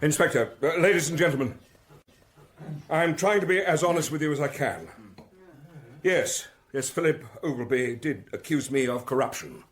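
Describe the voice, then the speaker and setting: Radio drama suspect: male actor as stiff English academic administrator, fairly high voice, careful, hesitant, defensive. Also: lively intonation, normal speed, close to an everyday voice. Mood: earnest, defensive. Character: formal, defensive male academic secretary under suspicion. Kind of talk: British radio drama acting.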